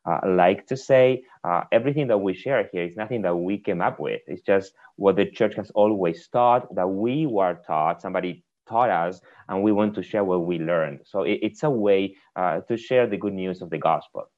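A man's voice: low-pitched, normal speed, few pauses, muffled recording. A man with an Argentine accent and native Spanish, talking conversationally as a priest guest on a podcast, often repeating words mid-phrase. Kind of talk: casual conversational interview.

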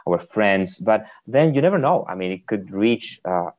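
A man's voice: lowish voice, lively intonation, moderate pace, darkish tone, highs cut off. A man with an Argentine accent and native Spanish, talking conversationally as a priest guest on a podcast, often repeating words mid-phrase. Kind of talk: casual conversational interview.

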